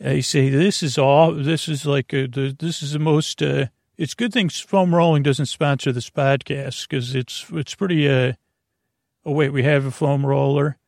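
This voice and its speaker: A man hosting a bedtime podcast in a meandering monologue, halting and digressive, often trailing off and restarting. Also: medium pitch, fairly even intonation, darkish tone, no room sound, studio quality. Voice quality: creaky, gravelly. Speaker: podcast host.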